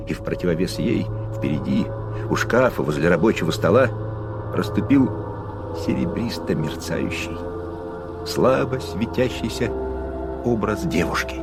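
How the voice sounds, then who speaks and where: A man narrating a gothic radio play in a measured, atmospheric way. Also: deep voice.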